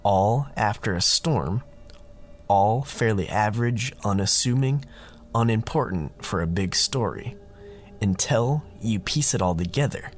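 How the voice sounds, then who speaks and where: Slow-talking man telling a horror tale. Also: on the low side, animated, soft background music. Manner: casual, wry, conversational. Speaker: narrator and podcast host. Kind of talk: scripted horror storytelling.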